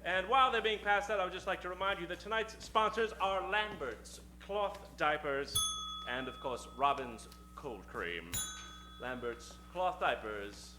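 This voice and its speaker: A man's voice: sits high, animated, a little slow. Theatrical, swooping male radio announcer. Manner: theatrical, showy. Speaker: host, announcer and narrator. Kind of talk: 1940s radio announcing, improvised comic narration. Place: live stage before an audience.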